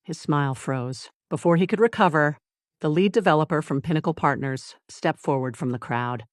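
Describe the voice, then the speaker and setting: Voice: medium pitch, normal speed, dry recording. Story narrator, close dry sound. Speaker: audiobook narrator. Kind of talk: scripted dramatic story narration.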